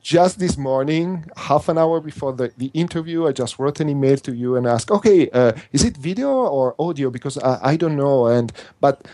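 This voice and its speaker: A man's voice: medium pitch, animated, warm tone, dry recording. A man with an Italian accent, a native Italian speaker, talking earnestly as an interview guest, often restarting and repeating words. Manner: earnest, halting, with frequent restarts and repetitions. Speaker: interview guest, workshop instructor.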